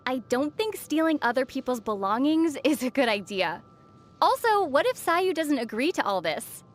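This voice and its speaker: A woman voicing a young female traveler in a video game, composed and playfully teasing, with quick wit. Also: sits high, very animated. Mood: amused. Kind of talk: video game character dialogue.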